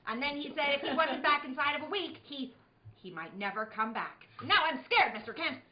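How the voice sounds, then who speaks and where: This is a frightened young boy sidekick from an old radio play acted by a woman. The voice is high and swings widely, stammering and pleading with earnest worry. The recording is roomy and dull. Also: expressive, fairly quick. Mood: scared, worried.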